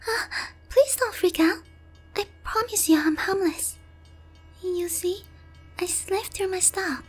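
A woman's voice: very high-pitched, slow pace, soft background music. A woman voicing a naive, sheltered young harpy in an ASMR roleplay, speaking politely and hesitantly to one listener. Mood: shy, apologetic. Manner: timid, hesitant, polite, airheaded.

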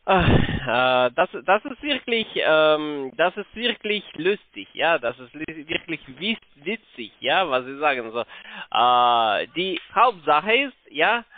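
Halting foreign-accented man on a phone line. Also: on the high side, sing-song. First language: not German. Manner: halting broken German, insistent. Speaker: call-centre telephone salesman. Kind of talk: unscripted sales phone call. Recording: muffled narrowband phone line.

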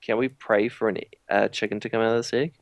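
Gravelly laid-back New Zealand man. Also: on the low side, steady tone, quick pace, no room sound. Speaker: gamer, co-host. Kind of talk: casual let's play chat.

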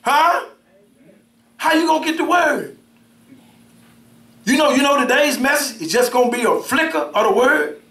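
A middle-aged minister preaching a sermon, with call-and-response, repeated words and swings between shouts and soft asides. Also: male voice, extremely high pitch, moderate pace, long pauses. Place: church sanctuary, indoors, at a pulpit. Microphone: pulpit mic from a far camera. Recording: roomy church sound from far off.

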